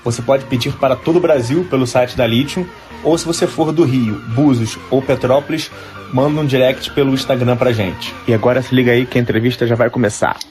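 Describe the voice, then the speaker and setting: A man's voice: medium pitch, fairly even intonation, darkish tone. A man hosting a podcast, reading a scripted introduction and sponsor spot in an upbeat way. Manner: upbeat, spells out words slowly. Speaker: podcast host. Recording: music bed under voice.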